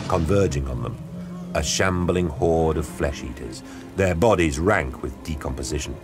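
A man narrating an audio drama in a grave, measured storytelling style. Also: extremely low pitch. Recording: studio narration over music. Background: dramatic music.

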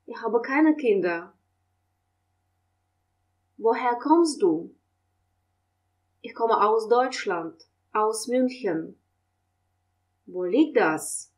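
Slow, clear woman teaching German. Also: fairly high pitch. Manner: reads German questions and answers slowly and clearly, explains in Russian. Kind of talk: language lesson, slow model dialogue.

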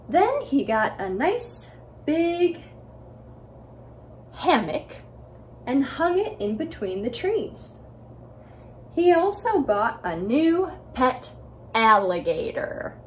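A young woman tells a children's story at home in a slightly high voice that swings widely in pitch. She is deliberate and expressive, and the room recording carries faint noise. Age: young adult. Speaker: library storyteller. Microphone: camera a few feet away.

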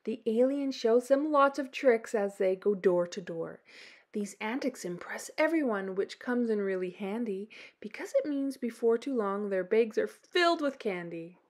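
Canadian lady doing kids storytime, high voice, really swoopy and expressive. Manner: animated, deliberate, dramatic emphasis. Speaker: children's storytime host. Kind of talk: expressive children's picture book read-aloud.

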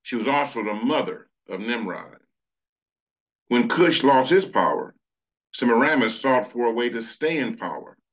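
A man's voice: medium pitch, expressive, normal speed, poor audio. A man preaching a sermon over slides, earnest, reading scripture passages aloud. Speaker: pastor.

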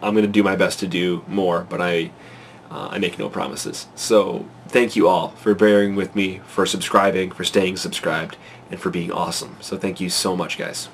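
A congested young man in his twenties talks straight into a close webcam from his bedroom at night, fretful and excitable, rambling with lots of fillers. His voice sits fairly low, with a faint hiss of room noise behind him. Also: moderate pace, gravelly. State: congested from a cold. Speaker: vlogger. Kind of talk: casual to-camera vlog. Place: small bedroom at night, indoors. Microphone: webcam at close range.

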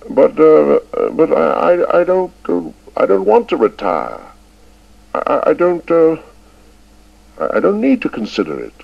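A man's voice: fairly high pitch, huge pitch swings, gravelly, voice pushed up, exaggerated voice. A man voicing an elderly male schoolmaster who stammers and halts, stubborn and fond of the old ways. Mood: flustered. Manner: stammering, halting with many uhs. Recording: dull lo-fi recording.

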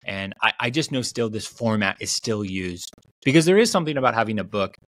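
A man in his thirties talks on a video call, slightly low-pitched and animated, tumbling through restarts and fillers as he explains his ideas. The sound is that of a plain home call mic. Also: fairly quick. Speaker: guest author. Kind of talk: casual podcast conversation.

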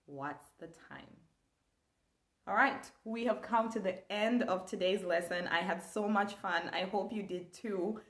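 A woman's voice: medium pitch, unhurried, reverberant. A young woman from Zambia, teaching an online language lesson with enthusiasm, gesturing as she talks. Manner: animated, gestures a lot, enthusiastic. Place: small room indoors.

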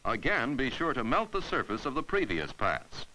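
A man giving scripted instructional narration for a 1950s educational film, patient and clear as he walks through each step. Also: medium pitch, big pitch swings, normal speed, pushed and bright, slight background noise, poor audio.

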